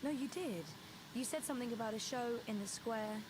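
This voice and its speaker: A woman's voice: fairly high pitch, fairly quick, darkish tone, breathy edge, poor audio. An actress playing an earnest, curious young woman adventurer in a video game, speaking casually and naturally. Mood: insistent.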